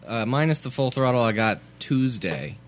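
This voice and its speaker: A tired man with a sore throat, co-hosting a podcast in loud, jokey banter full of exaggerated complaints. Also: mid-range voice, expressive, moderate pace. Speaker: podcast co-host.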